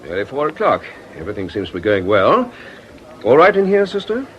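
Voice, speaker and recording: An actor playing a mild, apologetic priest in a radio drama, polite and diffident in manner. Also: male voice, medium pitch, wildly swooping pitch, brisk, highs cut off, slight background noise. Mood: curious.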